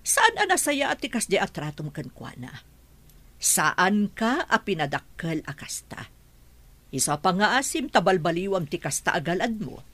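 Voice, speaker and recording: A firm, caring mother in a radio play, acted by a woman. Her fairly low voice moves up and down expressively as she earnestly admonishes. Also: big pitch swings, normal speed, a bit bright, no room sound. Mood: reproving. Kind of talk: radio drama acting in Ilocano.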